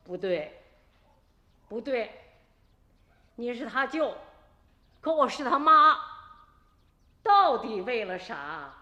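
A woman's voice: fairly high pitch, very animated, slow, long pauses, bright, forceful tone, full character voice. A woman playing a protective middle-aged village mother in a radio drama, indignant and challenging. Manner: challenging rhetorical questions. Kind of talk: Chinese radio drama acting. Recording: reverberant, echoey room with music.

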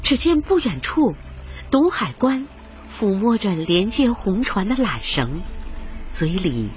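A woman's voice: medium pitch, sing-song, slow pace. A woman narrating a radio drama in a scripted, storytelling style. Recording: muffled radio drama recording, music underneath.

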